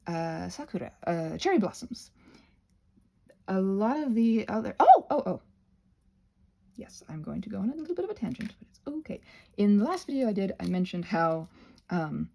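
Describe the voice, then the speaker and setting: A woman hosting a craft channel in a casual ramble, playful and whimsical, drifting onto tangents and talking to herself, with a slightly husky voice. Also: on the low side, mellow. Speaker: host of a craft and ASMR channel.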